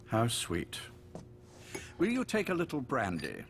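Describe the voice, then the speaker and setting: An actor playing a courteous but menacing older spymaster in a video game, urbane, measured and wryly polite. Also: male voice, mid-range voice, wildly swooping pitch, a little hiss or hum. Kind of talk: dramatic game voice acting.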